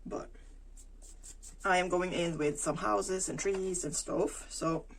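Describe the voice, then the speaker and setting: A woman, talking through her crafting casually, thinking aloud with pauses and fillers. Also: on the low side, steady tone, slight background noise, rough recording. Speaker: planner vlogger. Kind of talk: casual plan-with-me narration while crafting.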